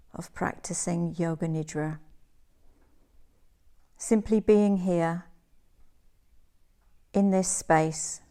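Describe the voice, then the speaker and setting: A woman leading a guided relaxation meditation as a yoga teacher, soothing and gentle, in measured phrases with pauses. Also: on the low side, clean tone.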